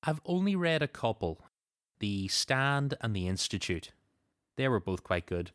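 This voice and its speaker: A man's voice: medium pitch, very animated, unhurried, fairly bright tone, smooth, no room sound, clean studio sound. A man hosting a podcast, moving between show announcements and casual chat. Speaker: podcast host.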